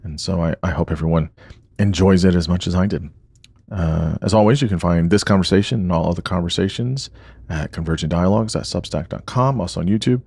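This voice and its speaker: A man hosting a podcast, introducing the episode and his guest in a relaxed way with many fillers and restarts. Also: deep voice, a little fast, gravelly, slightly rough, no room sound. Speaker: podcast host.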